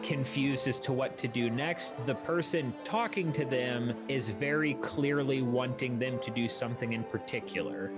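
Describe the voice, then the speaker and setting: A man runs a tabletop roleplaying game as game master, describing scenes in a conversational, explanatory way. His voice sits at an ordinary male pitch, with music clearly playing behind him.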